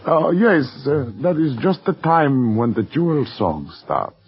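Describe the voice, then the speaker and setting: A man's voice: fairly high pitch, very animated, measured pace. Anxious, solicitous man, muffled radio drama. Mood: anxious, hesitant. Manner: hesitant, pleading, soothing. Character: anxious, solicitous man comforting a younger woman. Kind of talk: 1940s radio acting.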